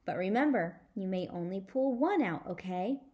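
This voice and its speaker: A woman voicing a genial father in a children's storybook, patient and measured as he explains a party game. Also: mid-range voice, lively intonation, highs cut off, lightly acted. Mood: explanatory.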